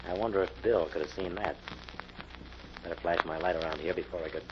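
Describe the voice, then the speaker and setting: An actor playing an alert, resolute crime-fighter in a 1940s radio mystery, earnest in manner. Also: male voice, deep voice, steady tone, quick pace, close to an everyday voice. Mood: curious, musing. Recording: noisy muffled old radio recording.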